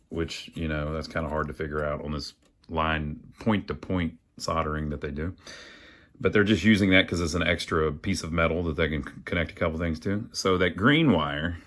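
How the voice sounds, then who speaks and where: A man's voice: low-pitched, a bit bright. A man hosting a casual hands-on repair tutorial, thinking aloud in a folksy way as he works. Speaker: host of an amateur radio repair channel.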